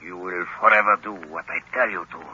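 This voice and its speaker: A man's voice: sits low, steady tone, bright, forceful tone, slight background noise. An actor playing a sinister, commanding professor who hypnotizes his victims in an old radio drama. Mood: menacing. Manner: slow, menacing commands. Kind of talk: 1930s-40s radio drama acting. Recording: muffled old radio broadcast recording.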